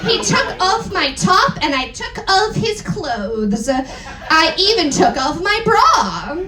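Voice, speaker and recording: A woman's voice: extremely high pitch, big pitch swings, slow pace, bright, forceful tone. A woman giving a comedic dramatic reading of a story to a live audience in a theatrical, amused manner. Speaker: performer reading fan fiction aloud. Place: indoor live event venue. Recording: echoey hall, distant mic, background noise.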